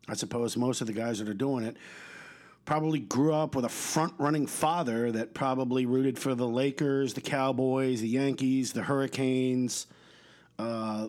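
A man's voice: medium pitch, a little mellow. Guy hosting his own podcast, stuffed up nose, blunt and cussing, rambles along. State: stuffed-up nose, slight cough. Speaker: podcast host. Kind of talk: casual solo podcast rant.

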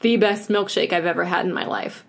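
A woman's voice: lowish voice, a little fast. A woman co-hosting a podcast in casual chat, gushing and chatty, with lots of filler "like"s. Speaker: podcast co-host.

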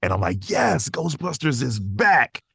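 A man's voice: mid-range voice, sing-song, measured pace, creaky, a bit bright, breathy edge, no room sound, clean studio sound. A man chatting casually as an interview guest, rambling and enthusiastic, often saying "man" and "you know". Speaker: podcast guest, voice actor.

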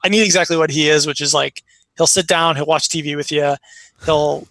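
American male guest, higher-pitched, gravelly edge, rambling casual storyteller. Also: fairly even intonation, fairly quick, sharp tone, dry recording. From born in Iraq, raised in Chicago, United States. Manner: rambling, informal, frequent filler "like". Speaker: interview guest. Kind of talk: casual conversation, personal stories.

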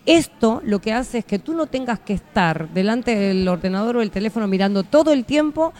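A woman's voice: fairly high pitch, lively intonation, brisk, a little mellow. A woman from Argentina hosting a radio talk show, talking in an emphatic, persuasive way and agreeing often.

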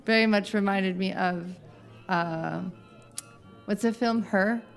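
A woman's voice: medium pitch, takes it slowly, soft background music. A woman from the United States, chatting as a podcast guest, laughing often and wandering into asides. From United States (Southern Oregon). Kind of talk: casual chat.

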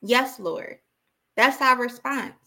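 A woman's voice: fairly high pitch, slow, creaky, sharp tone. A woman giving an impassioned, informal sermon as a preacher, exhorting emphatically and repeating key phrases.

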